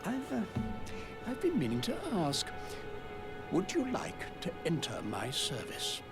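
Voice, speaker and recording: Pompous nobleman, swooping pitch. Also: huge pitch swings, unhurried, over loud music, full character voice. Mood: grand, proud. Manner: pompous, florid, theatrical. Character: pompous, self-important nobleman claiming a lordship. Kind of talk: video game character acting.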